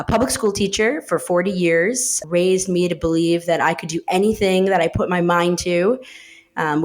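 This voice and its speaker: A woman, talking reflectively in an interview, with many fillers and restarts. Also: fairly low pitch. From upstate New York. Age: 30s. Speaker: guest, managing director of a design studio. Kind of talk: casual interview talk.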